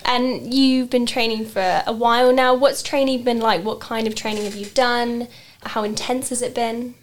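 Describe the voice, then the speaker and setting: A woman's voice: on the high side, fairly even intonation, normal speed, a little hiss or hum. A woman hosts an interview with a voice a little above her usual register. She puts simple, friendly questions to her guests and keeps them talking. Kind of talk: radio interview.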